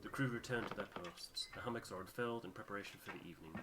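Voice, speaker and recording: A man's voice: fairly low pitch, racing. A man playing a story game, chatting casually and reading out narration. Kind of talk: casual playthrough chat with read-aloud narration. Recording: loud background noise.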